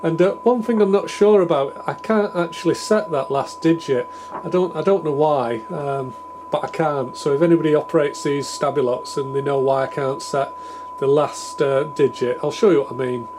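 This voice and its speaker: A man's voice: on the high side, steady tone, normal speed. A man giving a casual, improvised technical demonstration, thinking aloud in a tentative, self-deprecating way. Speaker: hobbyist radio repair presenter. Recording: clear background noise with hum.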